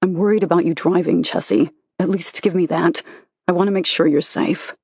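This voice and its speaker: An audiobook narrator voicing a remorseful husband who softly begs his wife to stay. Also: medium pitch, steady tone, moderate pace, creaky, breathy edge, dry recording, lo-fi sound. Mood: pleading. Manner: pleading, soft, contrite. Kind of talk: audiobook character reading.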